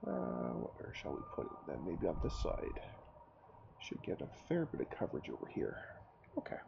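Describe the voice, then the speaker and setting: A man with a cold, his throat sore and his voice raspy, casually commenting on his own game as he builds and thinks aloud. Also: sits low, huge pitch swings, moderate pace, darkish tone, lo-fi sound, a little hiss or hum. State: hoarse from a cold. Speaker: gameplay commentator. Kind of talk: casual let's-play commentary.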